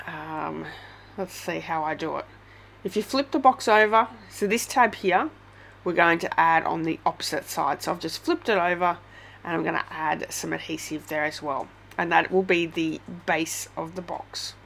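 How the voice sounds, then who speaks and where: Australian woman, stuffy head cold, slightly low voice, chatty craft tutor. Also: a little slow, vocal fry, fairly bright tone, a little hiss or hum. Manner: chatty, gushing, many ums. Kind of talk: casual step-by-step craft tutorial.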